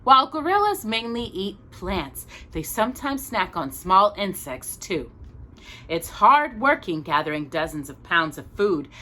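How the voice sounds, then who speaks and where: A woman reads a children's storybook aloud in a bright, forward voice. She takes her time and speaks expressively, as if sharing each page with a young listener. Her pitch sits in a middle range for a woman. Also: a little slow. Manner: expressive, with small interjected asides to a child listener. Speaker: storytime reader. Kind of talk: children's picture book read-aloud.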